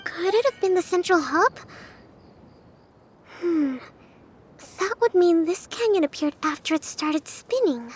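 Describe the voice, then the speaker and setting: A woman's voice: extremely high pitch, animated, measured pace, long pauses, slight background noise. A woman voicing a polite, thoughtful young female advisor with careful, courteous phrasing in a video game. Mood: pondering.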